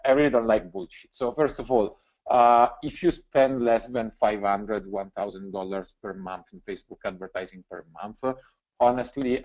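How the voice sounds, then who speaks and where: A man's voice: on the low side, a little mellow. A man from Italy with an Italian accent, presenting casually on a webinar in a frank, plain-spoken way. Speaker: guest presenter. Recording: dull, lo-fi webinar audio.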